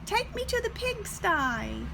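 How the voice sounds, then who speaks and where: Woman voicing a high, excitable young boy owl. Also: takes it slowly, creaky, very noisy, full character voice. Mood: urgent, excited. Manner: urgent, excitable cries for help. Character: lazy, sly young male owl, excitable and proud. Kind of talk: children's storybook character voice.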